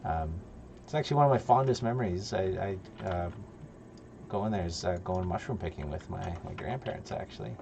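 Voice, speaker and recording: Polish-born guy streaming gamedev, low gravelly voice, chatty and rambly, a bit of hiss behind. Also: expressive, fairly quick. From Poland. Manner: rambling, chatty, many ums and restarts. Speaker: game developer streamer. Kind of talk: casual livestream chat. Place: home office at a desk.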